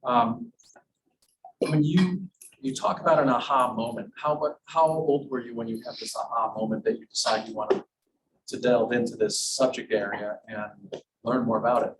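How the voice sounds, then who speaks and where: A man hosts an interview in front of his class, chatty and enthusiastic. His voice is picked up from a distance in the room. A clear layer of room noise runs beneath him. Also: mid-range voice, moderate pace. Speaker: professor hosting the class. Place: university classroom.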